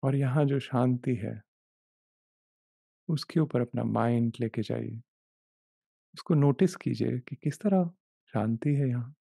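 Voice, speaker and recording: Man, meditation guide, very slow pace, mellow creaky voice, gentle delivery, close dry sound. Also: medium pitch, dry recording. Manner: gentle, unhurried, short repeated phrases. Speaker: meditation guide, life coach. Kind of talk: guided meditation, slow soothing instruction with long pauses.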